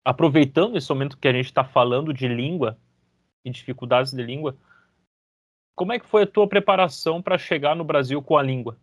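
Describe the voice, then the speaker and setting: A man's voice: fairly quick, no echo. Upbeat man hosting. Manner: enthusiastic, chatty, pitch jumps on greetings. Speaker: podcast host. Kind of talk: casual interview chat.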